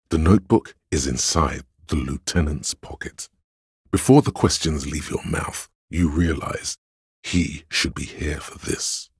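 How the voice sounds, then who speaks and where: A man voicing a policeman's inner instinct in a video game, narrating in the second person with grave, measured, dryly ironic delivery and a gravelly, husky tone. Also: very deep voice, no room sound, studio quality, full character voice.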